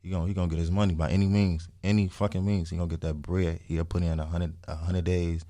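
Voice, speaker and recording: A man's voice: deep voice, level tone, fast talker, dark tone, dry recording. A man, telling stories casually in heavy slang, emphatic, swearing and repeating phrases. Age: early twenties. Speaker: interview guest, music artist.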